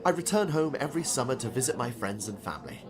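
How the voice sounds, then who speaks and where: A man's voice: mid-range voice, sing-song, fast, a crowd in the background. A man playing a genial, eccentric older novelist with a polite, quaint, chatty manner in an audiobook. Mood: chatty, fond. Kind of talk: audiobook character dialogue.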